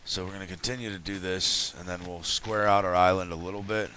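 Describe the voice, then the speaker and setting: A low-voiced man talks through a game early in the morning, his voice raspy and still sleepy. His tone is bright and forward, and he rambles along in a chatty, relaxed way. A faint hiss sits under the recording. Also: a little fast, rough recording. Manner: rambling, chatty, self-correcting. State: just woken up. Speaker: let's play host. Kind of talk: casual gameplay commentary.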